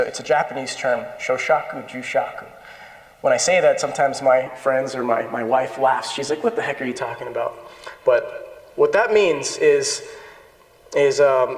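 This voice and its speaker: A man with an American accent giving an informal, conversational, self-deprecating lecture with personal anecdotes. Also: fairly high pitch. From born in Peru, grew up in the United States. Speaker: lecturer, dental implant surgeon. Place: indoor lecture hall with an audience. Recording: echoey hall with long reverb.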